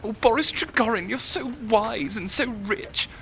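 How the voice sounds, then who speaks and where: A tormented young writer in an audio drama, self-doubting and lovesick. His voice is sharp and forceful, raw with feeling, with slight noise behind it. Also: high-pitched, measured pace. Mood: envious frustration. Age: young adult. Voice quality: intense, emotional delivery.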